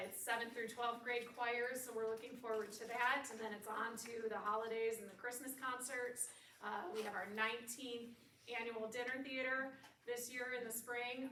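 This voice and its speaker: A woman choir director talks in a bright, higher voice with a friendly, chatty manner. She sounds distant in a reverberant meeting room. A faint crowd sits behind her. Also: fairly even intonation, normal speed, a little hiss or hum. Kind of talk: casual presentation. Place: school board meeting room. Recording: echoey hall from far off.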